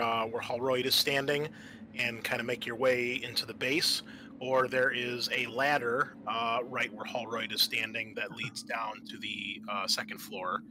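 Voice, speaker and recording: A man acting as game master, explaining and narrating a tabletop game in a casual, halting way. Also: medium pitch, pushed and bright, poor audio.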